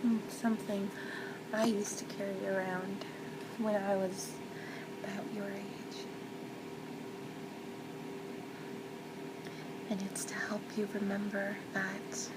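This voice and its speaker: A woman playing a loving mother in an ASMR role play, speaking softly and tenderly, often near a whisper, to comfort and reassure her child. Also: medium pitch, flat delivery, unhurried, lightly acted. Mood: tender, encouraging. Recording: loud constant background noise, ambience.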